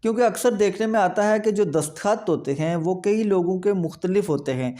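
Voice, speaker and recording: A Pakistani man lectures in a notably high voice for a male speaker, with a soft, mellow tone. He explains methodically, one step after another, restating each point as a legal instructor would. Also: a little fast.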